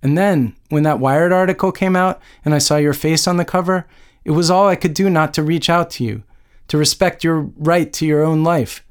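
A man's voice: on the high side, steady tone, moderate pace, smooth, voice pushed up, natural voice. A man voicing an older woman academic in a story, a doting mother who is earnest, persuasive and placating. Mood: pleading.

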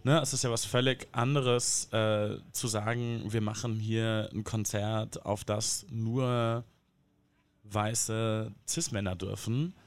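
Low-voiced thoughtful man. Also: steady tone, takes it slowly, clear, resonant tone. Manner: thoughtful, hedging with fillers. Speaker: guest. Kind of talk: casual discussion.

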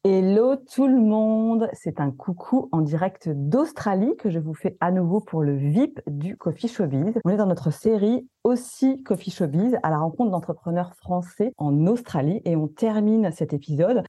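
A woman's voice: on the low side, lively intonation, moderate pace, hardly any pauses, warm tone, no room sound. A woman hosting a casual business interview, chatty and full of hesitations. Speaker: podcast host. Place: in Australia.

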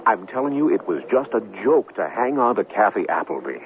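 An actor playing an earnest, callow newsroom underling in a 1970s radio drama, hesitant, pleading and excitable. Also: male voice, lowish voice, a little fast. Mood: flustered, insistent. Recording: tinny, hissy 1970s radio broadcast.

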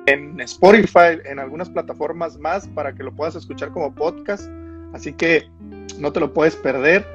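Higher-voiced host, friendly. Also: male voice. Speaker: podcast host. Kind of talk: casual livestream interview.

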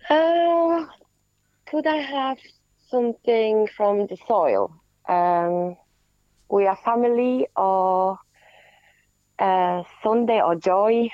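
A woman's voice: medium pitch, lively intonation, very slow, pauses often, no echo. Polish lady calling into radio, polite short answers, dull dry phone sound. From Poland. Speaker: caller. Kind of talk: phone-in chat. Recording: muffled phone call, dry.

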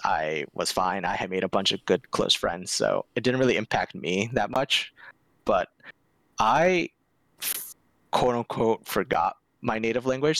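A man's voice: deep voice, fairly bright tone, dry recording, poor audio. A young American man chatting casually as a podcast guest, laid-back and candid, with lots of "like". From United States. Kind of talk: casual interview chat.

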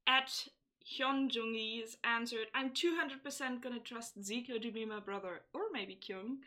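A woman's voice: a little high, unhurried, bright, forceful tone. A woman co-hosting a podcast, chatting casually and reading listener answers aloud. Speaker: podcast co-host. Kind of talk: casual chat.

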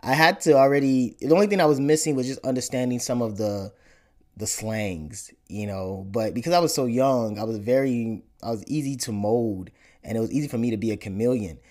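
A man in his thirties from Nigeria, a podcast host explaining his family background in a relaxed interview chat, often repeating words as he thinks. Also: medium pitch, fairly quick.

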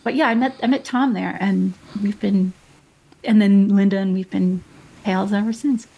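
A woman's voice: mid-range voice, steady tone, normal speed, creaky, warm tone, slightly rough, low-quality recording. A woman painter being interviewed on the radio, friendly and a bit hesitant, with light humor. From Chicago. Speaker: guest painter.

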